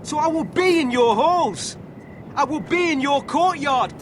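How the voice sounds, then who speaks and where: An arrogant young lord from a video game drama. The voice is high and domineering, taunting and cursing as it gives orders. Clear outdoor rumble sits behind it. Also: male voice, big pitch swings, unhurried, a bit bright, exaggerated voice. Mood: menacing, demanding. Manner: foul-mouthed, taunting, domineering. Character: arrogant, bullying young male lord of occupying soldiers.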